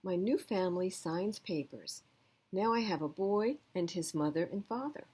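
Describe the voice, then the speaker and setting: A woman's voice: lowish voice, expressive, moderate pace, darkish tone, slightly rough. A woman voicing a wry, thoughtful rescue dog in a storybook, with an emphatic, plain-spoken delivery. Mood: resigned, wry.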